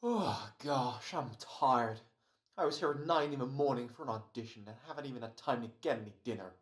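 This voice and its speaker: An actor playing a tired, wisecracking but soft-hearted radio actor in an old-time American radio comedy. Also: medium pitch, fairly quick, natural voice. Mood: weary, grumbling. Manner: weary, sardonic, slangy.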